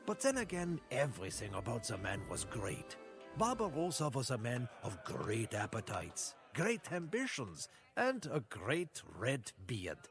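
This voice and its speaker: A man playing a boozy tavern storyteller in a video game, telling a tale theatrically and savoring his pauses. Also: medium pitch, wildly swooping pitch, faint music behind. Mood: jovial, teasing. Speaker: campaign narrator. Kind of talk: in-character game narration.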